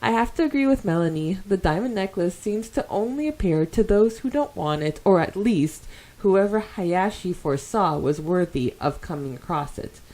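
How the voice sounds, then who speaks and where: A woman co-hosting a podcast, chatting casually and enthusiastically as she reads out and reacts to listener comments, quick to giggle. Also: lowish voice, lively intonation, warm tone, poor audio. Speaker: podcast co-host. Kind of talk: casual podcast talk, reading and discussing fan comments.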